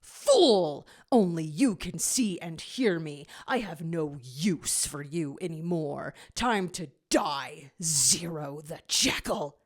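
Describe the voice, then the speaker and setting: A woman puts on a sinister male spirit villain for a fan fiction reading. He speaks low for this performer, commanding and contemptuous, with cold menace. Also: animated, slow pace, hardly any pauses, heavily acted. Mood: menacing contempt. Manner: commanding, scornful.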